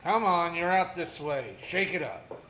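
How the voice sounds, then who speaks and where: An actor playing a brusque, taunting jail guard with curt slangy orders, in a 1930s radio drama style. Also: male voice, fairly high pitch, very animated, unhurried, sharp tone, heavily acted. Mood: impatient. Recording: echoey room with background noise.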